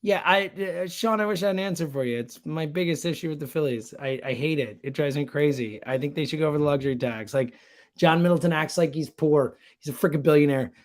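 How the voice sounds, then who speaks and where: A man's voice: fairly high pitch, fast, darkish tone. A man speaking casually and emphatically as a sports radio guest, full of slang and strong opinions. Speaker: sports radio producer and show host, guest.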